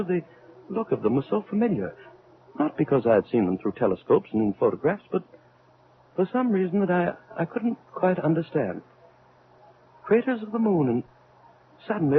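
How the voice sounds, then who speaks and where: An actor in an old-time radio drama playing a troubled elderly scientist, speaking in a halting, brooding, theatrical way. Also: male voice, on the high side, phone-quality sound. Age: older. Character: grave, troubled elderly scientist aboard a spaceship. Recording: band-limited vintage radio recording.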